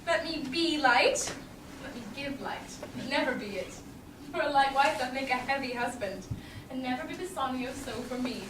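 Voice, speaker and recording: A witty noblewoman of Belmont, played by a woman in a Shakespeare stage reading. Her voice is high and self-possessed. It is heard from far off in an echoey, noisy theatre. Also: lively intonation, moderate pace. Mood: composed, wry. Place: small theatre stage, far audience camera.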